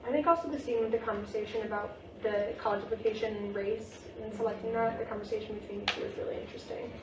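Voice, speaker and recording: A woman's voice: medium pitch, fairly even intonation, fast. A woman casually moderating an informal group feedback discussion, with hesitant phrasing. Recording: noisy, echoey room from far off.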